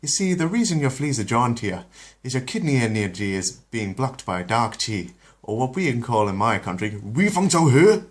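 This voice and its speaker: A man's voice: mid-range voice, big pitch swings, moderate pace, pitched above the performer's own voice, natural voice. A man voicing a jolly doctor in a put-on Irish accent with a lilting, folksy delivery. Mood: reassuring.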